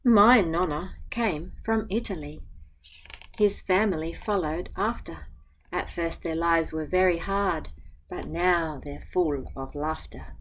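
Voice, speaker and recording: Gentle Australian woman reading aloud. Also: fairly low pitch, slow pace, low-quality recording. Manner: gentle, chatty asides between pages. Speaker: teacher reading a picture book aloud. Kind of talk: storytime read-aloud with asides to children.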